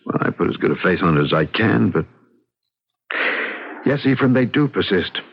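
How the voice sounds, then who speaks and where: A man's voice: sits low, expressive, moderate pace, breathy edge, heavily acted. An actor playing a troubled, melancholy man in an old radio drama, telling his story in brooding, literary phrases. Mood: anguished, uneasy. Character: troubled, melancholy man recovering from nervous breakdown. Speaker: actor narrating and playing the lead. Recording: muffled old radio broadcast with music.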